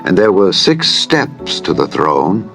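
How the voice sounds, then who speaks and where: A man narrating scripture in a solemn, measured, formal manner, as a dramatized Bible reading. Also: low voice, sing-song, vocal fry, a bit bright. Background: background music.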